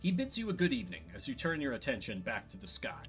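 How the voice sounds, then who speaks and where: A man reads a fantasy game's narration aloud as he streams it. His intonation is lively and storybook-like, dropping into casual asides. Soft music sits behind his voice. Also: mid-range voice, fast talker. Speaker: streamer playing and voicing a story game.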